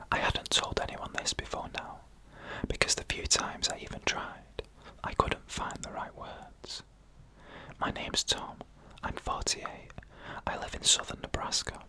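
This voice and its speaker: A narrator reading scary stories in a hushed ASMR whisper, with slow, deliberate phrasing and pauses. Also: poor audio. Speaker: narrator and host of a scary story show.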